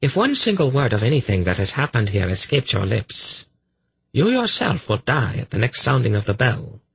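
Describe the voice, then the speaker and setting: A man voicing a cruel, imperious and suspicious high priestess in a fantasy audiobook, with cold, menacing, sarcastic delivery. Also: huge pitch swings, moderate pace, vocal fry, a bit bright, husky, dry recording, full character voice. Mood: coldly commanding. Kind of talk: fantasy audiobook character acting.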